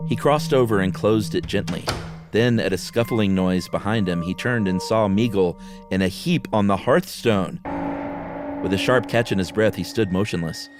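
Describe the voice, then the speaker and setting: A man's voice: lowish voice, normal speed. A man hosting and reading a horror story dramatically, with casual asides. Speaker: podcast host and story reader. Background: music.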